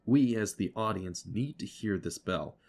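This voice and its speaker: A man's voice: fairly low pitch, unhurried, vocal fry, slight background noise. A man giving an explanatory tutorial voice-over as an audio producer, instructive and speaking directly to the listener.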